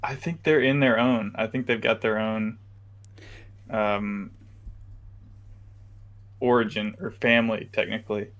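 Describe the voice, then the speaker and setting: A young man co-hosting a podcast, chatting casually with many pauses and fillers. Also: on the low side, steady tone, fairly bright tone. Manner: easygoing, hesitant, lots of fillers. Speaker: podcast co-host. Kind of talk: casual chat.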